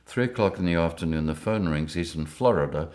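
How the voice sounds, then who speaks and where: An older man with a very deep, low-pitched voice. He tells his stories in a lively way and keeps breaking into chuckles. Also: a little fast. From Rhodesia. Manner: lively anecdotes, chuckling often. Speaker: interviewee. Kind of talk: storytelling interview.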